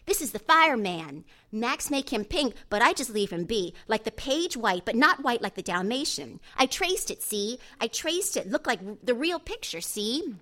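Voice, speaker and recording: A woman acts out an excitable boy of about seven in an audiobook. The voice is high and eager, tumbling along in run-on sentences with small-child grammar. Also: moderate pace, hardly any pauses. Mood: proud, chatty. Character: excitable seven-year-old boy telling his story breathlessly. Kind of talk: audiobook character voice.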